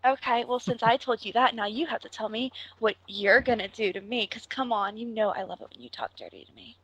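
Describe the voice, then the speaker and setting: A woman making a prank phone call, gushing in a breathy, exaggeratedly flirtatious way. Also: a little high, expressive, a little fast, fairly bright tone. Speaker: prank caller.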